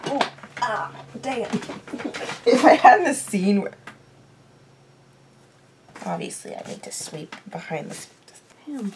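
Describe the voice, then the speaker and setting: A raspy-voiced young woman chatting casually to camera from her bedroom, reacting to a TV show and swearing under her breath at small mishaps. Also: a little high, very animated, takes it slowly, long pauses, a little hiss or hum. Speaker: TV reaction vlogger. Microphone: laptop mic.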